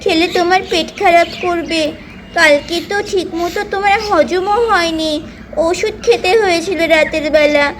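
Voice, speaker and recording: A woman voicing a gentle, caring and obedient young doll housemaid in a children's cartoon, speaking earnestly and deferentially. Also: extremely high pitch, steady tone, moderate pace, a little hiss or hum. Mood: caring, pleading.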